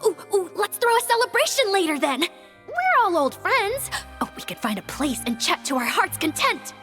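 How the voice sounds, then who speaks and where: A woman voicing a bubbly, sociable young woman in a video game, with an excitable way of talking. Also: extremely high pitch, moderate pace, soft background music, exaggerated voice. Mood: excited.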